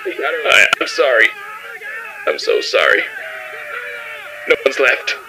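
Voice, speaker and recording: A man's voice: medium pitch, wildly swooping pitch, measured pace, vocal fry, background noise, exaggerated voice. A man playing a panicked, grief-stricken crewmate calling over a radio in an amateur audio drama. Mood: panicked, anguished.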